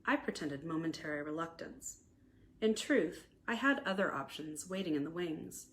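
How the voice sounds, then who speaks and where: An American woman with a fairly low voice narrates a scripted romantic memoir. She reads expressively and deliberately, like an author presenting her own story. There is some background noise on the recording. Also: expressive. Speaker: author reading own novella aloud.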